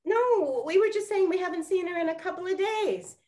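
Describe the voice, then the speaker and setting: An actress playing an excitable, incredulous lady of the 1920s in a comic period drama. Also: very high-pitched, a little fast. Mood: incredulous, curious. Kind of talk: period comedy radio-play acting.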